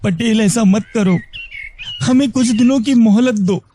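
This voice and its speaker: A man's voice: extremely high pitch, unhurried, mellow, a little hiss or hum. A man voicing a poor, desperate villager in a cartoon moral story, begging humbly and deferentially. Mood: desperate pleading. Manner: pleading, deferential.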